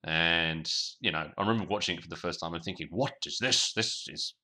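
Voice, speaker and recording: A man with a low but bright, forceful voice co-hosts a podcast. He chats casually and agreeably, with mild swearing and some halting as he finds his phrasing. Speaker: podcast co-host. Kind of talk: casual pop-culture chat.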